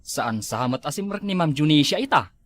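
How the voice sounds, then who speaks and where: Inquisitive Ilocano man with lively pitch, acted. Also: moderate pace, lightly acted. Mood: curious. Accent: Ilocano Filipino. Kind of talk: amateur Ilocano comedy drama acting.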